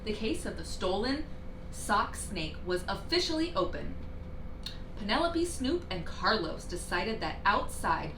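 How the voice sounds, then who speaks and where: A woman reading a children's bedtime story aloud, with dramatic, deliberate emphasis on key words. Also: mid-range voice, sing-song. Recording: roomy echo with clear background noise.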